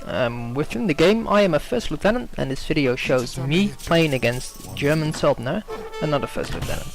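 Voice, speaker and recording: A man's voice: a little high, expressive, moderate pace, vocal fry, over music, rough recording. A young Dutch-native man giving hesitant gameplay commentary, full of ums and self-corrections.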